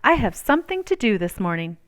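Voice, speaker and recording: Lady doing a polite determined little boy in a kids book, clear smooth voice, earnest. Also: medium pitch, very animated, fairly quick, natural voice. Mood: polite, hurried.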